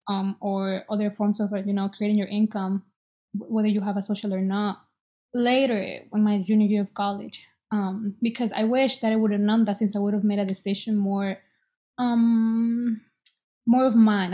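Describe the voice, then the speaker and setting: A woman's voice: mid-range voice, lo-fi sound. A woman in her twenties from El Salvador, a business owner talking casually as a guest, earnest and reflective, with many hesitations. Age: 20s. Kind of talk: casual interview conversation.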